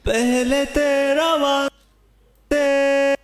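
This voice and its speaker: Woman singing slowly in long reverb. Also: flat delivery. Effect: pitch correction. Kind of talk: slow devotional-style melodic singing. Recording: reverberant hall sound, faint music.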